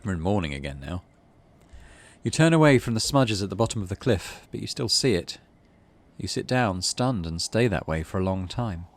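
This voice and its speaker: British male gaming host, lowish voice with very varied pitch, casual commentary and read-aloud narration. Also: very animated, normal speed. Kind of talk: casual playthrough commentary, reading game text aloud.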